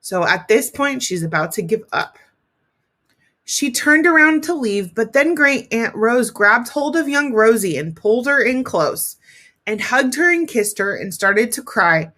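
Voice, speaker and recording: A woman teacher reading a story aloud to children, expressively, pausing to explain it and talk directly to young listeners. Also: on the high side, big pitch swings, pushed and bright.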